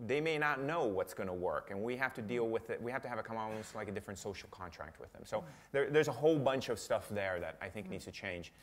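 A man who grew up in Italy, with a lowish voice, answers audience questions in a conference room. He talks in a lively way and acts out quoted speech in changing voices. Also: fast. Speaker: guest speaker.

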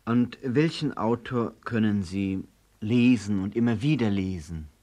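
A man's voice: fairly low pitch, measured pace, mellow. A man asking polite, formal interview questions on an old 1960s broadcast recording.

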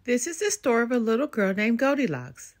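Very animated woman reading a storybook. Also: on the high side, brisk. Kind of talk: expressive children's book read-aloud.

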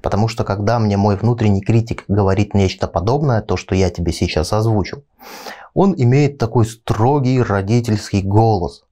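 A man's voice: on the low side, moderate pace, dark tone. A man in his thirties giving an instructional talk as a video coach, explaining step by step and addressing the viewer directly. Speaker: video blog host, coach and trainer. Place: small indoor studio with panelled backdrop. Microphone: lapel mic.

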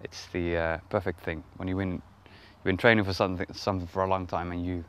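A man with a Swedish accent, a riding team trainer, speaking enthusiastically in an interview and trailing off softly at the ends of phrases. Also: low-pitched, flat delivery, quick pace, smooth. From Sweden.

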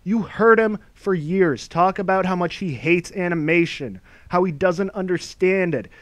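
A man with a fairly high voice narrates a commentary piece, his delivery scornful and mocking as he rants. The sound is dry and close, with no room around it. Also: unhurried, no echo. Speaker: video essay narrator.

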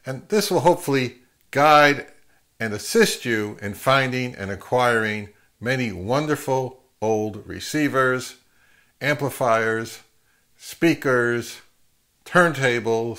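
A middle-aged man hosting an advice vlog, speaking to camera in an emphatic way with deliberate pauses. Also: medium pitch, fairly bright tone. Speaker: host of an audio gear channel. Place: home listening room, later an open grassy field.

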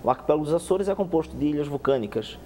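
Young guy talking quick on a tv studio set, soft mellow voice, bit of reverb and hiss. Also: on the high side. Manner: fast, animated explaining with many restarts and hand gestures. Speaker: guest researcher. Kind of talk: TV studio interview. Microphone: lapel.

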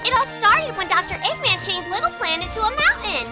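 A woman's voice: extremely high pitch, big pitch swings, brisk, over loud music. A woman voicing a cutesy, determined little girl hedgehog with a perky, insistent manner in a cartoon dub. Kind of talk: animated comic dub.

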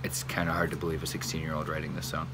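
A man in his thirties giving a casual, informal talk, halting and pausing often mid-sentence, like a worship leader explaining things at home. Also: extremely low pitch. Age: 30s. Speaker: church worship leader presenting hymn history. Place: outdoors in a sunny backyard by a wooden hutch. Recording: noisy outdoor home recording, steady hum. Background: loud hum and outdoor noise.

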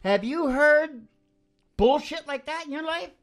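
A man's voice: very high voice, animated, unhurried, a bit bright, faint music behind. An older man bantering and ranting casually as a show host, with a sarcastic, profane, folksy manner, close on a stand microphone.

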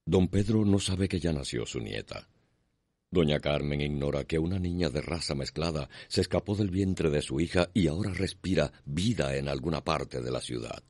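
A man narrating a radio soap in a solemn, measured, poetic manner. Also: a bass voice, animated, darkish tone, dry recording. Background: music.

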